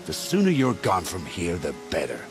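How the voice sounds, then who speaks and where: Middle-aged war chief, deep voice, highly animated, contemptuous, domineering, game cutscene. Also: male voice, moderate pace, music in the background, exaggerated voice. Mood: angry, commanding. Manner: contemptuous, commanding. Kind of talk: video game cutscene acting.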